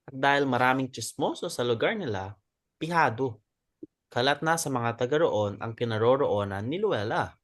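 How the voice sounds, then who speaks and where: A man plays a frank, loyal club member in a dramatic reading. His pitch moves in lively swings, and the sound is close and dry. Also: moderate pace, no echo, close to an everyday voice. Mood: frank, teasing.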